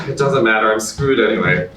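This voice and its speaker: A man's voice: fairly low pitch, normal speed, bright, forceful tone, a little hiss or hum. A man playing a furtive, guilt-ridden married contestant in a staged comedy reading, apologetic and hesitant in manner. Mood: dejected. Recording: echoey room from far off.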